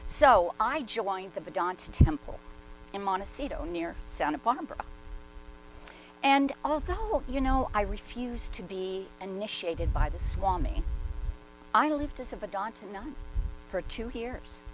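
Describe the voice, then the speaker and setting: A woman playing a skeptical, hard-boiled former war correspondent, speaking in a candid, halting first-person monologue for a dramatic reading. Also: mid-range voice, lively intonation, faint music behind, lightly acted, rough recording.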